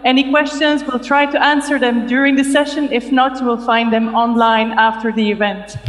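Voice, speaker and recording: A woman's voice: a little high, steady tone, a little slow. A middle-aged woman presenting a live online event cheerfully as host, on a headset mic. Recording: echoey event room.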